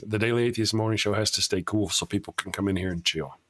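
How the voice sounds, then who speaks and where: A man's voice: fairly low pitch, quick pace, fairly bright tone. A man with a Texas accent hosting a casual live-stream chat show, joking around and reading out viewer messages. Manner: laid-back, jokey, reads out super chats. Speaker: host.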